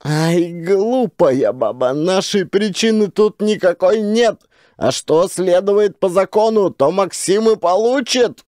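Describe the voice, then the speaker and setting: A man voicing a blustering, exasperated village elder who scolds in a drawn-out rustic harangue, in a dramatised audiobook. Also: high voice, darkish tone, dry recording, clean studio sound, voice pushed up. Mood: gruff, dismissive.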